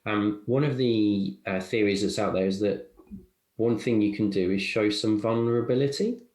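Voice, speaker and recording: A man hosting a podcast, talking in a thoughtful, halting way that often repeats words. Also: fairly low pitch, darkish tone. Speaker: podcast host. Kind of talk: casual podcast chat. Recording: echoey room.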